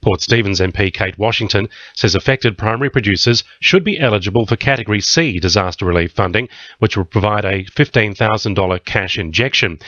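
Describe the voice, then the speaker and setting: A man's voice: on the low side, a bit bright, dry recording. An Australian man reading a scripted radio news bulletin as the newsreader. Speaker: news reader. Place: dry radio studio. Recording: slightly muffled, dull radio audio.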